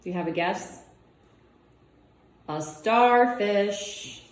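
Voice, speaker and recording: Slow-paced woman reading aloud in echoey room. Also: medium pitch. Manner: simple child-directed phrasing, wondering aloud. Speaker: read-aloud presenter. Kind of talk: reading a children's nonfiction book aloud with casual asides. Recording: roomy, echoing indoor sound.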